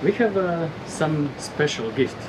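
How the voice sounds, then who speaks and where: Croatian visitor, halting english, mid voice, loud noise behind. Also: medium pitch, lively intonation, recorded from a distance. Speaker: visitor presenting a gift.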